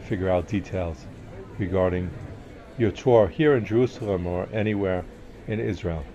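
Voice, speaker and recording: Deep-voiced man guiding a walking tour. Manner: relaxed, with many fillers and restarts. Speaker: tour guide. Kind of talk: casual walking tour narration. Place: outdoor market compound with wooden walkways.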